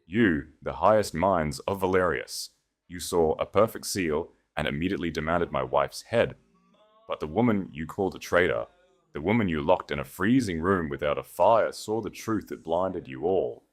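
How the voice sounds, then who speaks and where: A man voicing a deep, wrathful Grand Duke. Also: expressive, moderate pace. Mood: quiet menace. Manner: cold, imperious, menacing. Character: wrathful commanding male sovereign Grand Duke.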